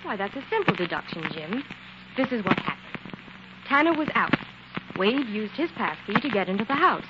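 Pert, animated sleuth's assistant played by a woman. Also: mid-range voice, normal speed, natural voice. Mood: insistent. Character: eager, confident female assistant to a sleuth. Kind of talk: 1940s radio drama acting. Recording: muffled, very noisy 1940s radio recording.

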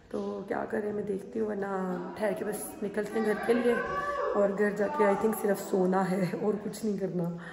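A woman in her thirties, hoarse from a sore throat, chatting slowly and tiredly to her phone camera at home as a family vlogger. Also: medium pitch. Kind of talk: casual vlog chat to camera. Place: indoors at home. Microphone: phone held at arm's length.

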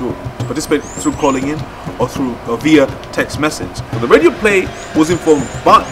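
A man explains his work in a documentary interview, his voice on the high side. He phrases things deliberately and haltingly. Music plays behind him. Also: rough recording. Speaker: theatre project leader. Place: outdoors in a leafy courtyard.

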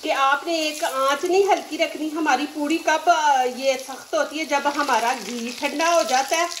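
A woman's voice: sits high, a little slow, echoey room, distant mic, a little hiss or hum. A woman with a Pakistani Urdu accent hosting a cooking demonstration at home, chatty and instructive, often saying religious phrases. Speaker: cooking channel host. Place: home kitchen.

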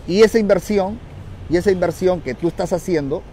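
Male vlogger-coach, Peruvian accent. Soft-toned, slightly high voice, emphatic repetition, handheld phone outdoors with light street noise. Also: a little fast. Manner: emphatic, repeats phrases for emphasis. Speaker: real estate investment coach. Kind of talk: vlog talk to viewers, instructive.

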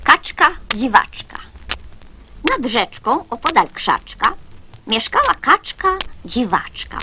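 A woman's voice: high-pitched, sharp tone, a little hiss or hum. A woman giving a theatrical recitation of children's poems. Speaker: poetry reciter.

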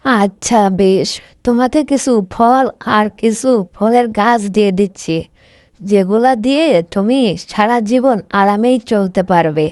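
Woman playing a slow-spoken, generous serpent spirit. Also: on the high side, a little mellow, no room sound, studio quality, natural voice. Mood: kind, generous. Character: female serpent spirit, grateful, generous friend. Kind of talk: cartoon voice acting.

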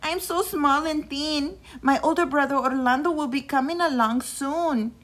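A woman voicing a small frightened young goat who begs in a high, squeaky voice. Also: normal speed, a bit bright. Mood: pleading.

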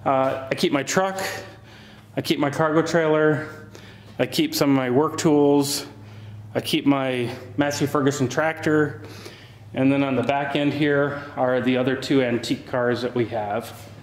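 A man in his forties giving a casual, relaxed walking tour of his homestead, thinking aloud with fillers as he films himself on a handheld camera. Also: medium pitch, measured pace. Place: outdoors and in farm buildings. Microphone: handheld camera mic, filming himself.

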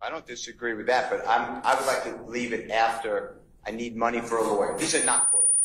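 A man's voice: medium pitch, steady tone, brisk, a crowd in the background. A middle-aged man arguing as a defense attorney in a courtroom. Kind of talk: courtroom argument. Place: large wood-paneled courtroom. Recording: roomy courtroom echo, background noise.

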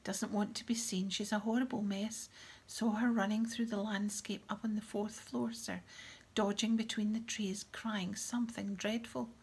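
A woman's voice: medium pitch, steady tone, moderate pace, darkish tone, husky. A woman voicing a gleeful, mischievous male poltergeist with a crackling, oily, mock-polite voice. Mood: gloating.

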